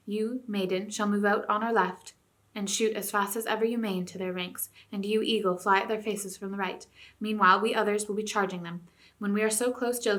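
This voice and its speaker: A woman voicing a stern, noble king giving calm, old-fashioned commands. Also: mid-range voice, natural voice. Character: stern, noble, hopeless king, grown man.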